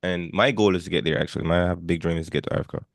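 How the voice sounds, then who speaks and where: Guy podcast host, low voice, talks quick, repeats himself, loose casual chat. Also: fairly even intonation, creaky, no room sound, clean studio sound.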